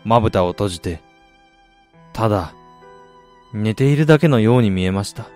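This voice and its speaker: An actor playing a weary, reflective middle-aged man in a radio drama, recounting his losses in a measured, confessional way. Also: on the low side, lively intonation, darkish tone, soft background music, natural voice. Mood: sorrowful, resolute. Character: weary man who lost family, confessional, brooding. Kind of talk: Japanese radio drama acting.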